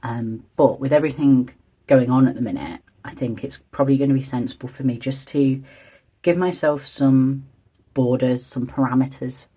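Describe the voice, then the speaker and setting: A vlogger with a slightly croaky voice, chatting quietly and hesitantly, thinking aloud while decorating a journal. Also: normal speed. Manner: hesitant, meandering, soft-spoken and low-key. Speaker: stationery and journaling video creator.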